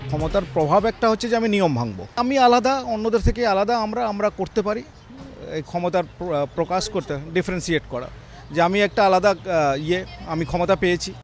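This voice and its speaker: Male interviewee, high voice, halting broken phrasing, soft music behind. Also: expressive. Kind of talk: unscripted interview comment.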